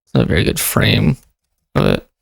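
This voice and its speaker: A video host giving casual reaction commentary in an informal, expressive, sweary way. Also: deep voice, brisk, vocal fry, no echo.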